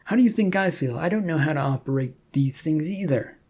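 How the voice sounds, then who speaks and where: Narrator as blunt, husky competitive guy. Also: mid-range voice, lively intonation, fairly quick, darkish tone, voice pushed up. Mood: exasperated. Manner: blunt, combative. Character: Rufus, competitive, exasperated male player.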